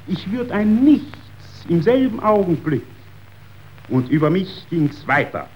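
Declamatory tycoon, wildly animated pitch. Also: male voice, a little slow. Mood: urgent, insistent. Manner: declamatory, rhetorical, emphatic. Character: ruthless, grandiose meat tycoon, preaching capitalism with fervour. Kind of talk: 1930s epic theatre radio drama acting. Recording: dull, noisy old radio recording.

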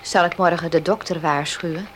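An actress playing a dutiful, patient housemaid in a radio drama, deferential and given to muttering. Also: female voice, fairly low pitch, normal speed, fairly bright tone, lightly acted. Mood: concerned, deferential. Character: dutiful, patient female housemaid roused from sleep. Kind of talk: Dutch radio drama acting. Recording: noisy old radio play recording.

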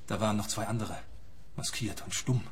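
A man plays a troubled, stubborn client in a radio drama. His voice is on the low side, serious and insistent, with faint ambient noise around it. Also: brisk, natural voice. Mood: earnest, troubled. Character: earnest, traumatised, stubborn male client from Hamburg. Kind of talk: radio play acting.

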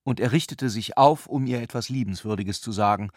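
A man reading literary narration for a radio play in a measured, deliberate and sober manner. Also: medium pitch, no room sound, studio quality.

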